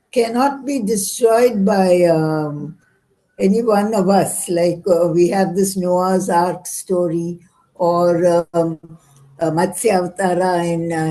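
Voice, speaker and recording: A woman with an Indian accent, an audience member giving polite, deliberate, appreciative feedback. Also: medium pitch, warm tone, rough recording.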